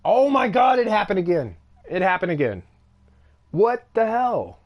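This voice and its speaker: A man's voice: high voice, sing-song, measured pace. Young man, Texan drawl, game streamer, rambling and talkative, lots of up-and-down in the voice. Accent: Texan Southern. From Texas. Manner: rambling, chatty, laughs often. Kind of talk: casual live-stream chat while gaming.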